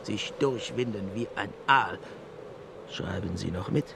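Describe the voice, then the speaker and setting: Menacing Nazi minister, noisy outdoors. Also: male voice, lowish voice, unhurried, vocal fry. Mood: scornful, threatening. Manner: cutting, declamatory, threatening. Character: menacing, scornful Nazi propaganda minister dictating his verdict. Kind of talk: German radio play acting.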